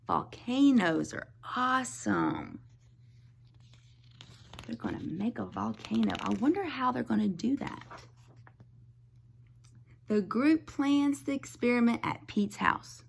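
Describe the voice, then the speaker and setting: A woman teacher reading a picture book aloud to young children, expressively, pausing to explain things simply. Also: a little high, expressive, gravelly, slightly rough.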